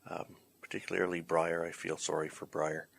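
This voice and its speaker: A man with a very low voice hosts casually. His delivery is halting and hesitant, with a bright, forward tone. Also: little pitch movement, gravelly, slightly rough. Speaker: host, writer-director of the play. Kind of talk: casual chat introducing bloopers.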